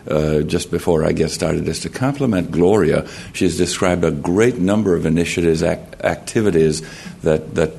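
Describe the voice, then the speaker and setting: A man with a Jamaican accent giving a formal talk at a conference podium, measured and halting, often clearing his throat and restarting. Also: sits low, darkish tone. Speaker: conference speaker. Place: conference hall.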